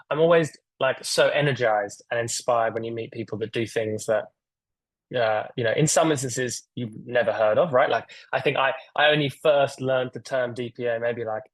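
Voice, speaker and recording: A man's voice: mid-range voice, no echo, poor audio. A man hosting a video-call webinar in a casual, rambling way, with lots of fillers and restarts. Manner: rambling, filler-heavy, enthusiastic. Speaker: webinar host.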